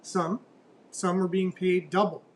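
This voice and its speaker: Guy hosting morning news radio, loud high voice, rambles and asks questions, faint rumble behind. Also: level tone, measured pace. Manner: chatty, rambling, rhetorical questions. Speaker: news talk host. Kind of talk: casual news chat. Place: radio studio. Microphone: studio mic close up.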